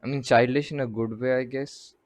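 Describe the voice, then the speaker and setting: A man's voice: medium pitch, fairly even intonation, a little fast, dry recording. A man commentating casually on a game playthrough as a streamer, musing aloud in an offhand way. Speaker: gaming streamer.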